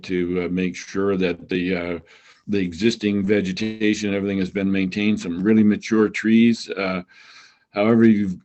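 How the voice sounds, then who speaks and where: A man chairing a township committee meeting, calling on members and reading a formal resolution aloud in a measured way. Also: on the low side, a little mellow.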